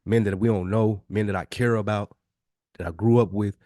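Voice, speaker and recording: Low, dry-miked American man bantering. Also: steady tone, moderate pace, dark tone, no echo. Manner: informal, slangy, frequent swearing. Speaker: podcast host. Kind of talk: casual banter and chat between friends.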